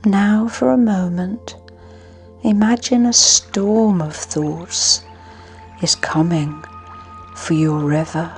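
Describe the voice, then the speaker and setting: A woman narrating a scripted guided sleep meditation in a soothing, hushed manner with long pauses. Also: lowish voice. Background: music.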